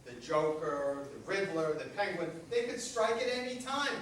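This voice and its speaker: A man's voice: fairly high pitch, very animated, normal speed, a bit bright, recorded from a distance. A man playing a defensive, self-important aging superhero who is out of work, in a staged comedy play reading. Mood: earnest, anxious. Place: echoey room at a live staged reading. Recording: very echoey hall from afar, faint noise.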